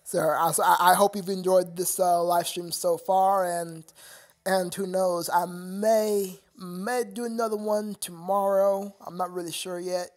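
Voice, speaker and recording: Young dude live streaming, lots of uhs and repeats, keeps laughing between lines. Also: male voice, sits high, steady tone, a little slow. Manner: rambling, stammering, laughs often. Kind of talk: casual live stream chat with viewers.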